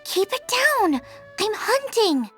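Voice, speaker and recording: A woman voicing an eager, bubbly young girl chef in a video game, with a girlish voice and an excitable, chirpy delivery. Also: slow pace, soft background music, full character voice. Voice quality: high, girlish. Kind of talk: video game character acting.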